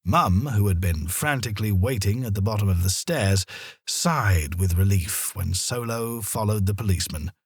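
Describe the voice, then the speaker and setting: A man narrating a children's story in a dramatic, expressive manner. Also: fairly low pitch, moderate pace, husky, dry recording, clean studio sound.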